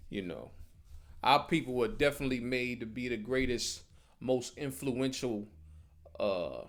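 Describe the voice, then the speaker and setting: A man teaching a religious class as an informal sermon, speaking repetitively with frequent rhetorical check-ins. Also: medium pitch.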